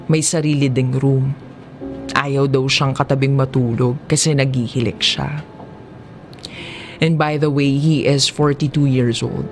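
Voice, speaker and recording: Filipino narrator for confessional drama audiobook: unhurried delivery, mid-range voice, clear musical bed underneath. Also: mid-range voice, darkish tone. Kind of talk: scripted first-person drama audiobook narration. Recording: music behind the voice. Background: background music.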